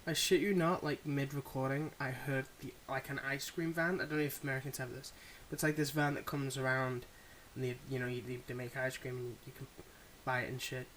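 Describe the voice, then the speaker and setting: A man's voice: mid-range voice, level tone, fairly quick, hardly any pauses, a bit bright, slight background noise, low-quality recording. A man chatting casually to his listeners as an audio creator, in a rambling, informal, sweary way. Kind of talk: casual chat outro.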